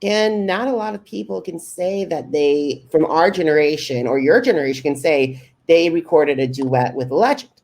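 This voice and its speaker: A man hosting a podcast interview, asking prepared questions in a deliberate way. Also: on the high side, creaky, darkish tone.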